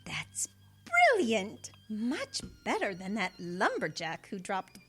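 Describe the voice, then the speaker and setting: A female performer playing a pampered, excitable queen in a comic radio drama, gushing and gasping in an affected way. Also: mid-range voice, soft background music, heavily acted. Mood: delighted. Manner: breathy gasps, gushing, affected, drawn-out words. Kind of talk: radio comedy audio drama acting.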